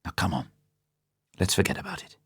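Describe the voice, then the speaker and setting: A man playing a hardened male spy, speaking low, clipped and subdued. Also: a little fast, a bit bright, no room sound, studio quality, close to an everyday voice. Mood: gentle.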